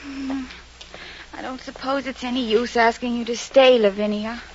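An actress playing an excitable, worried young woman friend in a vintage radio drama, with an expressive, dramatic delivery. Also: a little high, moderate pace, husky. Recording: muffled old radio recording.

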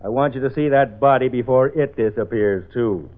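A man's voice: medium pitch, normal speed, faint music behind, lightly acted. Guy as curt radio cop, official and probing, mid voice, tinny old recording. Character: brisk, businesslike male police detective. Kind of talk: 1940s-50s radio drama acting.

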